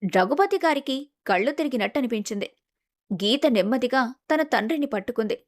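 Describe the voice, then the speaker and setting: A woman's voice: on the high side, lively intonation, a little fast. A woman storyteller reading scripted narration for a moral story.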